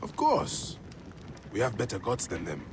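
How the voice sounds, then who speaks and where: An actor playing a wry, cocky warrior companion in a video game cutscene. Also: male voice, on the low side, huge pitch swings, unhurried, noisy background.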